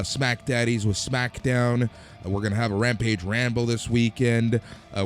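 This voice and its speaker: A man hosts a wrestling podcast in easy, casual chat. His voice sounds worn nearly to nothing. Faint music plays behind him. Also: mid-range voice. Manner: plugs shows in a quick run. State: voice almost gone. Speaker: podcast host.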